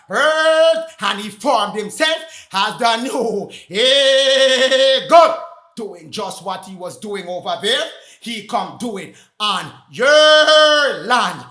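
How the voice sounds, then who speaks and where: A man preaching in a loud, sing-song shout, firing off rhetorical questions. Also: very high voice, reverberant.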